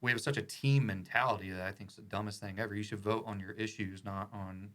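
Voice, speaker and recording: A man's voice: on the low side, steady tone, quick pace, fairly bright tone. A man talking casually and with opinion on a podcast, informal and emphatic, repeating phrases for stress. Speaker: podcast guest, attorney.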